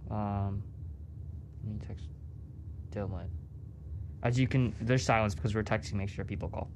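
A man's voice: lowish voice, fairly even intonation, moderate pace. An eighteen-year-old man from Rhode Island chatting casually and jokingly as co-host. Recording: faint background noise, room ambience.

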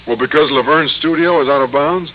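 A man's voice: a little high, brisk, lightly acted. An actor playing an earnest, naive, mild-mannered male teacher in an old-time radio comedy, with a hesitant, guileless manner. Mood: earnest, friendly. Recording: dull, noisy vintage radio recording.

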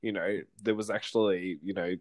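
An Australian man with a somewhat low voice co-hosts a casual podcast. He talks in an animated, gossipy way and swears freely. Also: moderate pace. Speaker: podcast co-host. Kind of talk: casual chat recapping reality TV.

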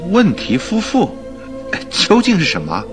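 An actor playing a detective's puzzled, curious companion, full of questions, in a radio drama. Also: male voice, high voice, huge pitch swings, unhurried, over music, heavily acted. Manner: questioning, bewildered. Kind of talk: radio drama acting in Mandarin.

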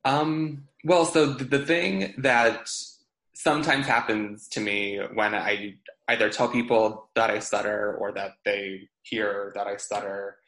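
A young man with a stutter chats on a podcast, catching on sounds and repeating words as he talks. His voice sits a little low, and the room around him gives it a noticeable echo. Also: steady tone, unhurried, a bit bright. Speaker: podcast co-host. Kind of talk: casual chat. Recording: roomy echo.

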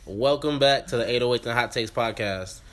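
A man's voice: medium pitch, brisk, slight background noise. A young man in his twenties hosting a podcast, congested and sniffling, in joking, profane banter. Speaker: podcast host. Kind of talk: casual podcast chat.

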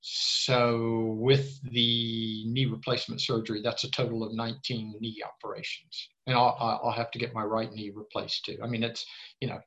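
A man's voice: mid-range voice, little pitch movement, normal speed, a bit bright. Older man, rambling, slightly dull sound. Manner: rambling, repeats words at starts, frank. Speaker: interview guest. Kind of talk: casual interview conversation.

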